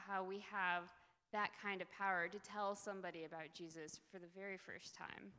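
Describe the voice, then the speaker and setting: A woman's voice: medium pitch, pushed and bright. A woman who works in a campus ministry, earnestly telling a story in a speech that introduces the next speaker. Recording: long hall reverb.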